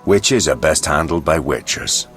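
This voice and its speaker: Crude cynical dwarf merc in a game, low voice, blunt and sardonic. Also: male voice, lively intonation, a little slow, a bit bright, soft background music, full character voice. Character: crude, cynical adult male dwarf mercenary. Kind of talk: video game dialogue acting.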